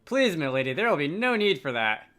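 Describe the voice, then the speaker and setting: A man's voice: fairly high pitch, very animated, a little fast, fairly bright tone, clear, resonant tone, soft background music. A man voicing a courtly, self-effacing old knight in a game, with formal archaic phrasing. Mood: humble, courtly.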